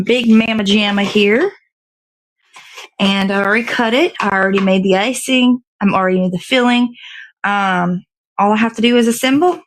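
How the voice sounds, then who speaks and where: A woman with a mid-pitched voice hosts a casual morning live stream. She chats in a rambling, informal way and thinks aloud while she busies herself with a task. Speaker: host of a morning show. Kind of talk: casual live chat while assembling a dessert.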